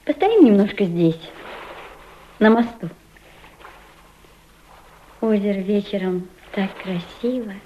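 An actress playing a weary, wistful, quietly principled Russian émigré woman in a radio play, with measured, deliberate delivery. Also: medium pitch. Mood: wistful, tired. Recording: dull, hissy old radio drama recording.

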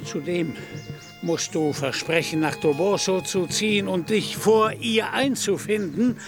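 Ein Schauspieler spielt einen stolzen, verblendeten alten fahrenden Ritter, der in einem Hörspiel pompös und altertümlich deklamiert. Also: male voice, sits high, unhurried, music in the background. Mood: solemn, threatening. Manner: grandiose, archaic declamation. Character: proud, deluded old knight-errant, pompous and fervent. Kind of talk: radio play acting.

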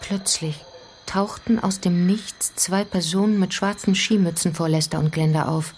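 An actress as the grave narrator of a radio play mystery, telling it in a measured, suspenseful way. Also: female voice, on the low side, fairly even intonation, a little mellow, a little hiss or hum, natural voice. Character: grave female storyteller of a mystery adventure. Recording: music under the voice.

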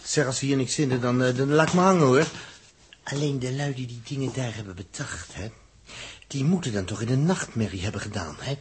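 A man's voice: medium pitch, fairly quick, close to an everyday voice. An actor playing an impatient, matter-of-fact male space explorer in a 1960s radio play, speaking Dutch with a Netherlands accent. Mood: puzzled, curious. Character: Dirk, down-to-earth male space explorer, dismissive of fears. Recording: noisy vintage 1960s radio recording with clicks.

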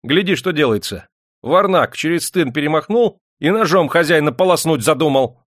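Man acting an excitable, bellowing factory master. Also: a little high, normal speed, no room sound, studio quality, voice pushed up. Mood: angry, commanding. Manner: bellowing, crowing exclamations. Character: excitable, domineering 18th-century male factory owner, gleefully cruel. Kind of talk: dramatised audiobook character voice.

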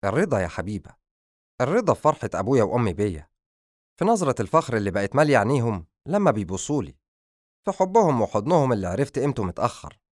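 A man's voice: mid-range voice, very animated, racing, darkish tone, no echo. Man acting weary lovestruck young man, dry close sound. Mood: earnest, grateful. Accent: Egyptian. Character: ailing, lovestruck young man, gentle and playful. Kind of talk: audiobook character dialogue.

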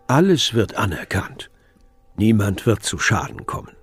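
A man acting an earnest, devoted festival impresario in a radio play, husky and gravelly, reassuring and ceremonious. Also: on the low side, big pitch swings, measured pace, exaggerated voice. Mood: soothing.

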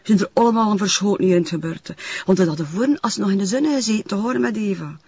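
A nosy older West Flemish woman with a very husky, creaky voice. She chats haltingly in a guarded, gossipy way, as in a television police drama. The sound is slightly dull. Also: medium pitch, a little mellow. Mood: confiding, gossipy. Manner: hesitant, chatty, trailing sentences with tag questions. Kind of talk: naturalistic television crime drama acting.

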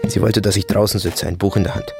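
Low, gravelly brooding soldier played by an actor. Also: male voice, level tone, over music, lightly acted. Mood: wistful, musing. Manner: halting, fragmentary, repetitive musing. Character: brooding dark-blond soldier, man haunted by family memories. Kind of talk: radio drama interior monologue.

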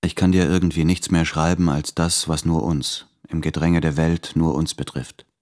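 An actor playing a melancholy, tormented writer reading his love letters in a radio play, with measured, introspective, formal diction. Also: very deep voice, little pitch movement, darkish tone. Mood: brooding, yearning. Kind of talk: literary German radio play monologue.

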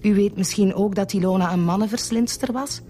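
An actress playing a cool, measured Flemish bookkeeper and mistress under questioning in a radio drama. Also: female voice, mid-range voice, mellow, natural voice. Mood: cool, disclosing. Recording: music behind the voice.

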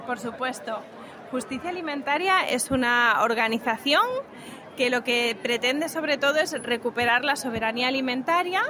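A young woman with a high voice explains her organisation's work in an articulate interview answer. She is recorded at a fair stand with a busy crowd around her. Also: a little fast. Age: young adult. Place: stand in an indoor fair hall. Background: crowd murmur.

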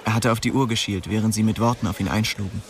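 A man's voice: fairly low pitch, fairly even intonation, fast, a crowd in the background, close to an everyday voice. An actor playing a young streetwise man who recounts his small-town youth colloquially in a radio drama. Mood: wry storytelling. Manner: colloquial, storytelling. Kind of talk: radio drama first-person narration.

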